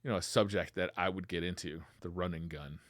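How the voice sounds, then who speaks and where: A man's voice: sits low, fairly even intonation, a little fast, no room sound, studio quality. A man co-hosting a podcast, chatting casually and enthusiastically about video game news in informal, slangy language. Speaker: podcast co-host.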